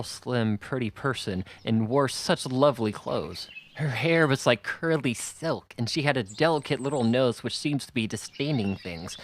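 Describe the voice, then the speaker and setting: A man's voice: medium pitch, a little hiss or hum. A man reading a children's novel aloud as scripted audiobook narration. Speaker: audiobook reader.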